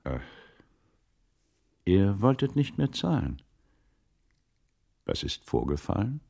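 An actor in a German radio drama playing a shrewd investigator in old Italy, gravelly, sceptical and restrained. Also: male voice, sits low, big pitch swings, slow pace, mellow, heavily acted. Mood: probing, sceptical.

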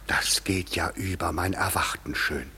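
An actor playing a commanding, stately fairy king in a radio drama, speaking measured, imperious verse. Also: deep voice, vocal fry, sharp tone, slightly rough, in a large hall, exaggerated voice. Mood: stern, pleased. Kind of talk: classic stage-verse radio drama acting.

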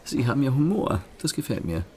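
An actor in a German radio play voicing a suave, teasing French gentleman thief with an amused, courteous, ironic manner. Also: mid-range voice, big pitch swings, a little fast, husky.

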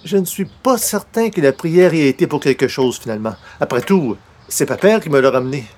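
A man's voice: a little high, expressive, brisk, a little mellow, lightly acted. Man playing a polite, weary everyman, faint noise. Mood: sceptical. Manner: polite, long-suffering, dry asides. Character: broke, sleep-deprived, polite newspaper columnist, cat owner. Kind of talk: old-time radio comedy acting.

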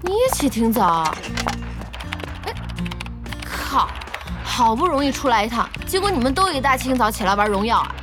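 An actress playing a bossy, good-hearted young woman who runs an internet cafe in an audio drama, brisk and scolding with lots of exclamations. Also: on the high side, very animated, over music. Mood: bossy, exasperated.

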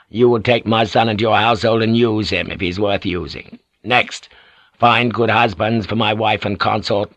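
A male narrator plays an aging samurai warlord from an audiobook, low in pitch and recorded close and dry. He is proud and scheming, issuing orders with imperious, emphatic force. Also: fairly bright tone, no room sound. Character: fierce, scheming older samurai lord, proud and commanding. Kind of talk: audiobook character acting.

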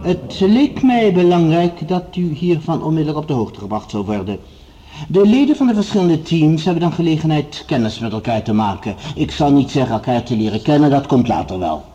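Commanding, mellow-voiced male project leader. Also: fairly high pitch, big pitch swings, highs cut off. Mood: formal, authoritative. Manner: formal, orotund, measured authority. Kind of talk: radio drama acting, formal speech-making.

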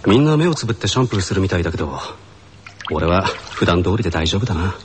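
A man's voice: low voice, very animated, quick pace. An actor playing a composed, matter-of-fact teenage boy in an anime character skit. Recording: loud running water noise.

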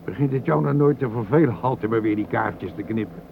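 An actor playing a wry engine driver who loves trains, dry and teasing, in a naturalistic radio drama. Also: male voice, medium pitch, a little fast, vocal fry, natural voice. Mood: enthusiastic. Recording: tinny, faintly noisy radio recording.

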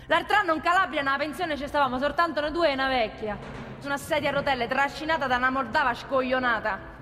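A woman's voice: high voice, fast talker, pushed and bright, in a large hall, soft background music. An actress playing a plain-spoken, desperate working-class woman with a Roman accent in a theatrical monologue. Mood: wry storytelling. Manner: plain-spoken, ungrammatical, earthy. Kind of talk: theatrical monologue in colloquial dialect.

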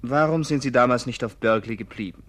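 An actor in a German radio play voicing a polite, conflicted English gentleman who speaks earnestly and hesitantly. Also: mid-range voice, quick pace, close to an everyday voice. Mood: tender. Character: conflicted English gentleman, secretly afraid, tenderly flirting.

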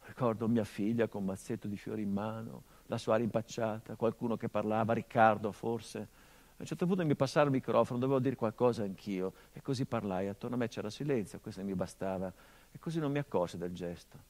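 An actor playing a hardened, grieving middle-aged son, delivering a measured theatrical monologue that drops to hushed tones. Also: male voice, lowish voice, lightly acted. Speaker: stage actor.

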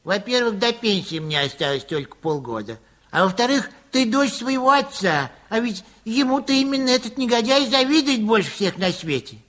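High-voiced fretful old apothecary. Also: male voice, expressive, moderate pace, fairly bright tone. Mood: lamenting, relenting. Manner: fretful, lamenting, blustering. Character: grumbling, kind-hearted ageing apothecary nearing retirement.

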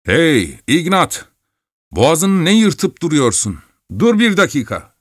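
A man's voice: fairly high pitch, expressive, normal speed, dry recording, pitched above the performer's own voice, natural voice. A cocksure male traveller who scolds and hollers at everyone around him, put on by a male narrator. His voice sits well above the reader's own and cuts through with a hard, carrying edge. The recording is dry and close. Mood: scolding. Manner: loud, scolding, raised voice. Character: bossy, self-assured adult male traveller giving advice.

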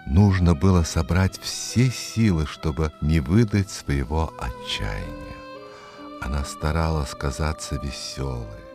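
Deep, slow male storyteller. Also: a little mellow. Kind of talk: expressive scripted fairy-tale narration. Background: music.

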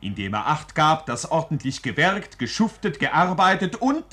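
A man's voice: on the high side, very animated, normal speed, pushed and bright, slightly rough, full character voice. A man playing a jovial, droll storyteller in a 1940s German radio drama, speaking emphatically and relishing each word. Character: jovial, droll male storyteller addressing honest folk. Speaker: narrator in a radio play.

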